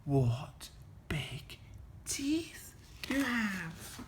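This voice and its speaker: Storyteller doing a little girl, high sing-song voice, polite, curious, kinda careful, storybook style. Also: big pitch swings, slow pace, a bit bright, a little hiss or hum, exaggerated voice. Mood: hushed fear. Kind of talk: storybook character voice.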